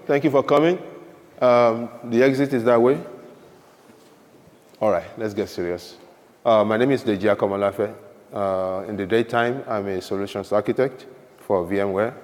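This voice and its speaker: A man's voice: lowish voice, steady tone, moderate pace, long pauses, a little mellow. A man co-presenting at a conference, teasing his partner with playful banter. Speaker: solutions architect, co-presenter. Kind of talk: joking conference talk. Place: large conference hall. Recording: reverberant hall.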